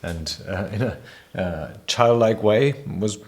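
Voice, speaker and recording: A man who grew up in Spain, a missionary guest sharing his testimony in a measured, hesitant way with many fillers. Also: fairly low pitch. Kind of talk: reflective personal testimony.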